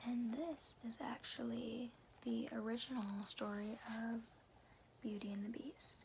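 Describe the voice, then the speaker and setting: A woman speaking in a soft, breathy whisper in an informal, unhurried ASMR style, as host of a read-aloud series. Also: fairly high pitch, fairly even intonation, slight background noise, poor audio. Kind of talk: whispered ASMR, casual rambling chat and storybook reading.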